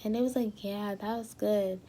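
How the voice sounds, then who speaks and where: A young woman vlogging casually to her viewers, a little hoarse as she loses her voice, rambling with lots of fillers and restarts. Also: mid-range voice, fairly even intonation, normal speed, dark tone, a little hiss or hum. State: slightly hoarse, losing her voice. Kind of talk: casual talk to camera.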